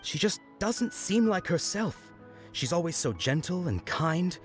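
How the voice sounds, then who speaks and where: A man playing an earnest, bashful young festival worker in a video game, with a hesitant, expressive delivery. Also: high-pitched, measured pace. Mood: bashful, devoted. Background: music.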